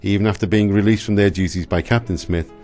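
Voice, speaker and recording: A man's voice: fairly low pitch, fairly even intonation, fast, darkish tone. A man giving dramatic scripted narration for a historical radio play.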